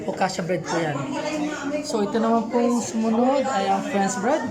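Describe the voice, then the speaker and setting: A woman vlogger with a Filipino accent talks casually to the camera and to the people around her. She repeats words as she goes, chatty and informal. Loud, busy chatter surrounds her voice. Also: mid-range voice, moderate pace. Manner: chatty, repeats words, mixes Tagalog and English. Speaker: vlogger, baking student. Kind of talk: casual vlog chat, greeting people and showing around. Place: busy school rooms.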